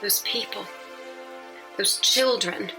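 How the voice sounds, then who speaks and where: A woman's voice: medium pitch, takes it slowly. A woman playing a troubled, self-doubting young heroine in an audio drama, speaking in an earnest, burdened way. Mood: anguished, earnest. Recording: voice under loud music.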